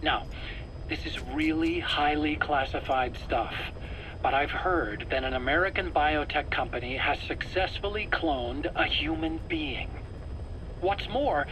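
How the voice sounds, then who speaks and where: An actor playing a nervous, eager scientist under interrogation in a video game, self-justifying in an earnest, explanatory, pleading way. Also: male voice, a little high, close to an everyday voice. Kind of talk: dramatic video game voice acting. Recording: faint background noise, cassette tape interrogation.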